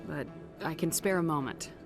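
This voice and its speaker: A woman's voice: low-pitched, moderate pace, music in the background, close to an everyday voice. An actress playing a firm, principled female military commander in a game, direct and controlled. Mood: patient, firm.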